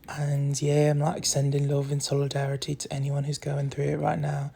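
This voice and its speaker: A young adult speaking in a put-on British accent, rambling candidly and softly to followers into a phone, saying "like" often, with a husky, creaky voice that trails into breathiness. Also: mid-range voice, completely flat delivery, moderate pace. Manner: soft, candid, meandering with frequent "like". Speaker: social media content creator. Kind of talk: casual rambling confessional chat to followers.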